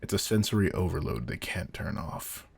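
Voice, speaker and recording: A man playing a veteran vampire hunter in an audio drama, a controlled, intense mentor who speaks in a deliberate, commanding, quietly menacing way. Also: low-pitched, animated, a little hiss or hum, exaggerated voice. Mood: low, ominous. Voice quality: gravelly, husky. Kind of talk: scripted roleplay audio drama.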